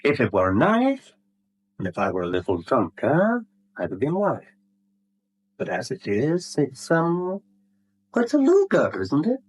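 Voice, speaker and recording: An actor playing an oily, polite blackmailer in a British radio drama, falsely apologetic and genteel, with a British accent. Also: male voice, a little high, wildly swooping pitch, measured pace, long pauses. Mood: amused menace. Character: smooth, mock-regretful male blackmailer, oily and polite. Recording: vintage 1970s radio drama recording.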